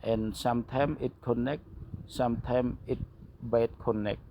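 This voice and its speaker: A man with a non-native English accent narrating a hands-on repair tutorial as a technician, speaking in short, broken, halting phrases. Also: mid-range voice, fairly even intonation, dark tone, a little hiss or hum. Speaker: phone repair technician and instructor.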